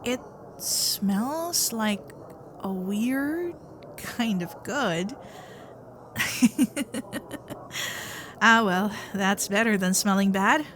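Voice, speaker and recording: Unhurried, shy fox-spirit girlfriend, acted by a woman. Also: mid-range voice, expressive, slight background noise. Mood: bashful, teasing. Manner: hesitant, flustered, caring, softly halting. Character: shy, sweet, protective young female kitsune girlfriend. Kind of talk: ASMR roleplay acting.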